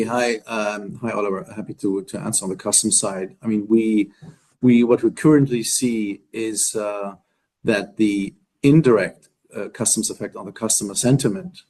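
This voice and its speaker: A man's voice: lowish voice, a little mellow. A man speaking as a company executive on an earnings call, answering in broken phrases with many repeated words.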